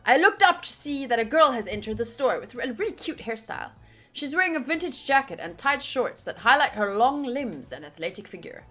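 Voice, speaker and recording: A woman streaming a visual novel, reading aloud and chatting casually. Her voice is fairly high and bright, with lively, playful swings and faint game music behind. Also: fairly quick. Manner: animated, playful, exclaiming.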